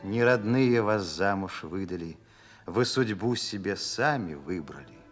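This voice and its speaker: An actor playing a solemn poetic narrator, reciting verse in a measured, declamatory way for a radio drama. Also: male voice, low-pitched, expressive. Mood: solemn, lyrical. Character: solemn male poetic narrator addressing a woman. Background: music.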